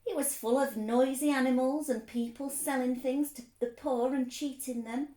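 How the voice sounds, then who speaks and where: A woman reading a story aloud for children, expressive, explaining things simply as a teacher would. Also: high voice, moderate pace, echoey room.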